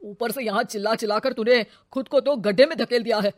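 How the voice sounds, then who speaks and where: A stern senior policeman in a moral story, played by a woman narrator. The voice is fairly high, commanding and full of scolding anger. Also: fast, dry recording, clean studio sound, close to an everyday voice. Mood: angry. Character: stern, angry senior male police officer.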